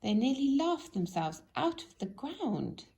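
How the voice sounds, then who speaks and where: A woman reading a children's story aloud in an expressive, animated way, speaking directly to young listeners. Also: high voice, measured pace, vocal fry. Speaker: storybook reader.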